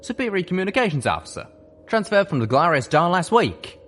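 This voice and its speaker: Jovial pegasus stallion, man reading, loud, high-ish. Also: big pitch swings, brisk, a little hiss or hum. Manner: folksy, hearty. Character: drawling young male pegasus soldier, jovial.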